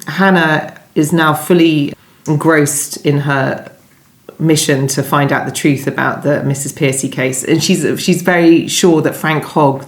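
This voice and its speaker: A woman, a novelist being interviewed, answering conversationally and thinking aloud as she rephrases. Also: sits low. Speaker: novelist being interviewed about her book. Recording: roomy, echoing indoor sound.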